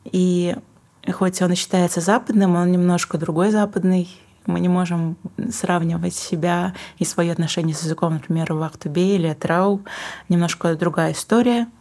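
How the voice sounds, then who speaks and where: Low, husky-voiced young woman, reflective. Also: steady tone, moderate pace. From Kazakhstan. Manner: thoughtful, searching for words, soft asides. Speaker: guest, singer and book publisher. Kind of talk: reflective interview answers.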